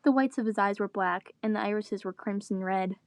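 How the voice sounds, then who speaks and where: A woman's voice: medium pitch, a little fast, no room sound. A woman reading a story aloud dramatically, with joking asides in her own voice. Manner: breaks character to groan and joke. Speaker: reader of fan fiction.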